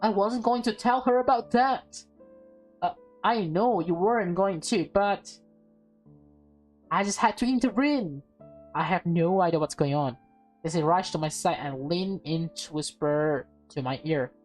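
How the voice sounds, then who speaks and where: Expressive woman reading a story over music. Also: fairly low pitch, measured pace. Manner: expressive, playful, acts out dialogue. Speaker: gaming video host reading a visual novel aloud. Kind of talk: dramatic read-aloud with casual asides.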